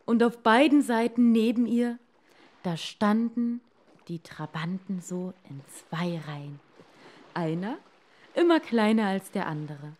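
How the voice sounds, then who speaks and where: A woman narrates a bedtime fairy tale at a very slow, deliberate pace. Her delivery is dramatic and expressive, with a medium-pitched voice. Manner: dramatic, deliberate, dropping to hushed tones. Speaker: storyteller.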